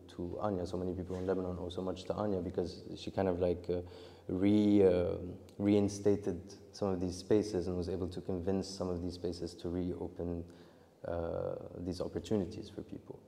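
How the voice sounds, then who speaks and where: A man's voice: low voice, little pitch movement, normal speed, warm tone, in a large hall. A young man answering interview questions about his film, rambling and restarting often as he talks. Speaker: interview guest, filmmaker.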